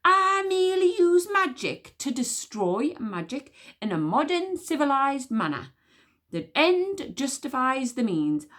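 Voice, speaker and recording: A woman doing a haughty, shrill warrior queen. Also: very animated, a little slow, a bit bright, full character voice. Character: imperious fierce queen, older woman.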